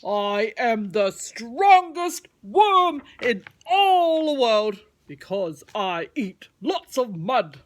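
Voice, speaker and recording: Woman doing a cheeky, loudmouthed little boy worm. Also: on the high side, big pitch swings, very slow, few pauses, full character voice. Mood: boastful. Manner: blunt, boastful, loudly emphatic. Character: cheeky, boastful little male worm, brave and helpful.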